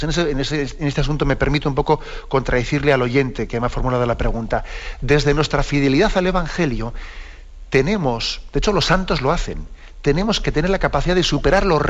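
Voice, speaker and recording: A man's voice: on the high side, dull audio. A man hosting a radio catechism program, explaining at length with many fillers and tag questions. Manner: discursive, many "eh" and "¿no?" tags. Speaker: radio host priest.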